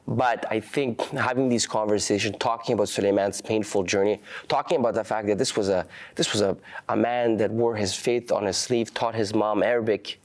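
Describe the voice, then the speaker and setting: A young man whose first language is Farsi, giving earnest, halting interview answers full of restarts. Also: fairly low pitch, steady tone. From Canada. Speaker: activist guest.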